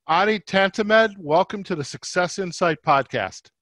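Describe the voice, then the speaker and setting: A man's voice: high voice, normal speed, fairly bright tone, no room sound. A man hosting an interview podcast, presenting and asking questions as the host.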